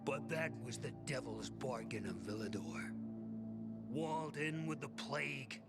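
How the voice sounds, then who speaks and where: Slow, world-weary survivor. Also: male voice, mid-range voice, expressive, creaky. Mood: grim, brooding. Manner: slow, brooding, bitter storytelling with pauses. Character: world-weary, resigned male survivor in a plague-walled city. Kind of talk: game cutscene acting. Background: music.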